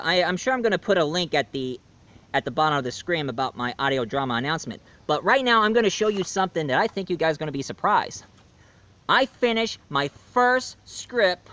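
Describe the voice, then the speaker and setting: Animated man retelling a movie plot. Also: a little high. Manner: animated storyteller, acts out scenes. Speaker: film reviewer.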